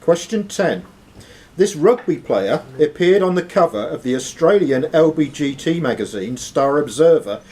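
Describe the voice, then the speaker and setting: A man's voice: on the high side, expressive, normal speed, darkish tone. A man reading quiz questions aloud as host of a live quiz. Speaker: quizmaster.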